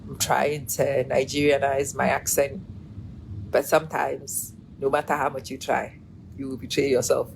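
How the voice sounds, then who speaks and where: Low-voiced woman in her forties, chatty. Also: flat delivery, normal speed, creaky, a little mellow, slight background noise, low-quality recording. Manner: chatty, laughs often, greets viewers by name. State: low energy. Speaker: live-stream host. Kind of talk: casual live-stream commentary.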